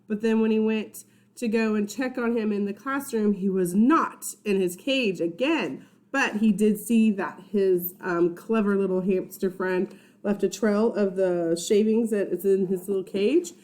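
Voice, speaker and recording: A woman's voice: mid-range voice, a little slow, a little mellow. A woman teacher reading a children's story aloud, informally, with chatty asides and check-in questions for young listeners.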